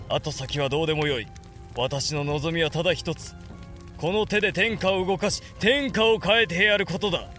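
High-voiced, fervent young samurai lord. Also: male voice, a bit bright. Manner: impassioned, declamatory, archaic warrior speech. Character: ambitious, defiant young samurai lord hungry to rule. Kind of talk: Japanese period audio drama acting.

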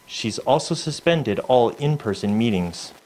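A man narrating a news report in a measured, formal way. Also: medium pitch, expressive, a little mellow, slight background noise, poor audio.

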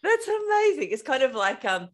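A woman's voice: high-pitched, very animated, moderate pace. A woman hosting a podcast, enthusiastic and chatty, often restarting her sentences as she asks questions. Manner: enthusiastic, chatty, trips over her words. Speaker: podcast host. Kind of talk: podcast interview.

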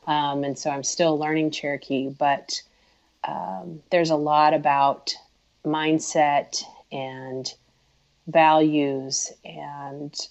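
A low-pitched woman from Oklahoma, speaking as an author on a podcast. She explains patiently, in the manner of someone who talks with schoolchildren. Her audio sounds dull and lo-fi. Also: fairly even intonation, slow pace, vocal fry. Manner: warm, explanatory, like speaking to students. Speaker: guest author. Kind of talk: podcast interview.

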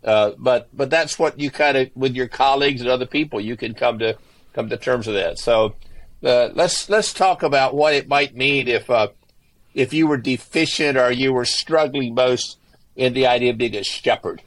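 A man's voice: mid-range voice, moderate pace. A man who is an author and former pastor, speaking earnestly in a podcast interview and often repeating himself as he restarts phrases.

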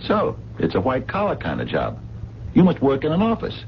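A man's voice: medium pitch, huge pitch swings, brisk, vocal fry. An actor playing a skeptical, plain-spoken working man at a diner in a radio drama, blunt and probing. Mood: casual, probing. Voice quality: colloquial, plain diction. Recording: dull, noisy 1970s radio recording.

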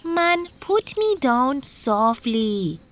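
A bossy talking thing from a storybook, given voice by a woman hands out orders. The words are slow and drawn out, commanding yet matter-of-fact. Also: sits high, sing-song, voice pushed up, heavily acted. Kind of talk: storybook character voice.

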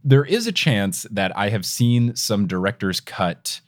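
A man's voice: lowish voice, very animated, a little slow. A young man hosting a podcast, chatting casually with rambling asides and frequent laughter. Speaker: podcast host. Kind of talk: casual chat.

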